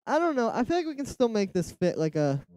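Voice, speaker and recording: A man's voice: extremely high pitch, quick pace. A man with a nasal voice chatting casually on a livestream while producing a track. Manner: relaxed, musing aloud, reads chat replies. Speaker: music producer streamer. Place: home studio, indoors. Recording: livestream with loud music under voice. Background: electronic music playing.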